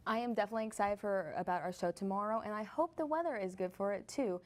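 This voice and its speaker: A woman's voice: medium pitch, fast. Upbeat woman news anchor. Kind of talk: scripted anchor links.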